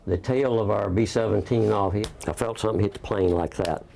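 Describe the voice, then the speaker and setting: An elderly American man in his late eighties, a veteran recalling the past in a halting, plain-spoken way. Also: on the low side, gravelly, dark tone. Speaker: war veteran being interviewed. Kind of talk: unscripted recollection. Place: in and around an old airplane. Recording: noisy aircraft location sound.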